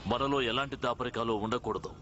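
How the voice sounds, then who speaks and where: Robot monkey second-in-command, man actor: stern, forceful, quick, cartoon dub. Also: medium pitch, steady tone, highs cut off, a little hiss or hum, close to an everyday voice. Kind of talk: animated series dub acting.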